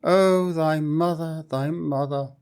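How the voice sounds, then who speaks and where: A remorseful older king in a storybook, as a man reading aloud puts him on. He speaks in a sorrowful, earnest way. His speech is slow and a little high for a man. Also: fairly even intonation, darkish tone, clean tone. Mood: grieving.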